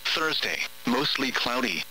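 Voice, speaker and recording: A synthetic male text-to-speech voice reading a scripted weather forecast in a formal, measured announcer manner. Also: mid-range voice, animated, bright, forceful tone, faint music behind, rough recording. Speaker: automated weather radio announcer. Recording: radio broadcast with faint hum.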